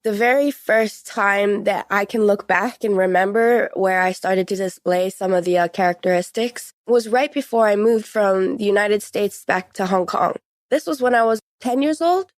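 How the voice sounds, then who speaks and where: A woman's voice: medium pitch, normal speed, no room sound. Teenage woman in a dry recording. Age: late teens. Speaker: podcast guest. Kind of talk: conversational personal account.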